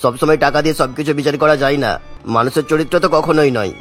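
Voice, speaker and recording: Cartoon role, male actor: gentle, principled elderly father-in-law, consoling, slightly raised pitch. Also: quick pace, soft background music, lightly acted. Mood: consoling, earnest. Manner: consoling, earnest. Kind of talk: animated moral-tale cartoon acting.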